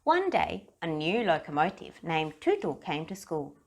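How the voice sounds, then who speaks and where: A woman reads a children's picture book aloud in a fairly low voice. Her narration is expressive, leaning deliberately on key phrases. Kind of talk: read-aloud storybook narration.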